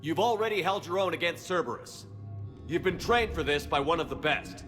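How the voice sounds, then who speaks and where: An actor playing a steady, encouraging squad soldier in a video game, speaking firmly. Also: male voice, on the high side, fairly quick, music in the background, natural voice. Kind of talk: video game dialogue acting.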